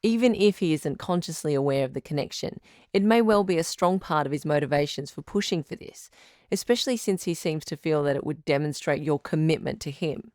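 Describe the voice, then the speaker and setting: A woman playing a calm, supportive radio advice doctor in an audio drama, speaking in a measured, articulate, professional counselling tone. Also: low voice, no room sound, clean studio sound, lightly acted. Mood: measured, advising. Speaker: radio advice show host, sex therapist.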